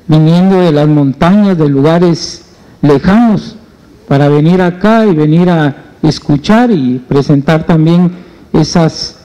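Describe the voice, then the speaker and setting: A middle-aged man addresses a public forum from a panel table in a conference hall. He holds a handheld mic and speaks in an earnest, steady way, and his voice sits high but sounds dark and mellow. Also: lo-fi sound. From Guatemala. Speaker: trade union leader.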